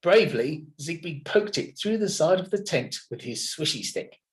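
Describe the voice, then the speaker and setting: A man narrating a children's storybook in an expressive, dramatic manner. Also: on the high side, moderate pace. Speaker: children's story reader at a primary school.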